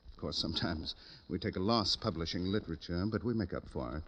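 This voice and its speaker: Pompous low-voiced book publisher. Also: male voice, quick pace, a bit bright, slight background noise. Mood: self-important. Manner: pompous, talkative. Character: self-important, fussy male book publisher, rambling. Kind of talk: 1950s radio drama acting. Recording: dull old radio recording.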